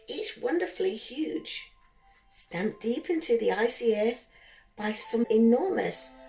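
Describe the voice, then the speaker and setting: A woman reads a rhyming bedtime story for children in a fairly high voice. Her delivery is very slow, gentle and singsong. Soft background music plays beneath her. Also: rough recording.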